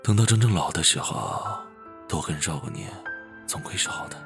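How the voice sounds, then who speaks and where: Very low-voiced, gentle devoted boyfriend. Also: male voice, animated, brisk. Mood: tender, wistful. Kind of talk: naturalistic Chinese audio or screen drama dialogue. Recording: music behind the voice.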